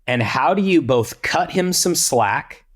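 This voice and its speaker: Male podcast panelist from Texas, conversational advice-giver with very lively, swinging intonation. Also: fairly high pitch, slow pace, breathy edge. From Texas, United States. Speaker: podcast panelist, church leadership coach.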